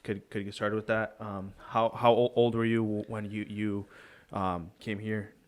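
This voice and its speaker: A man co-hosting a podcast, asking questions in a halting way, often searching for words. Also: fairly low pitch, flat delivery. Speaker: co-host. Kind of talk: casual podcast interview.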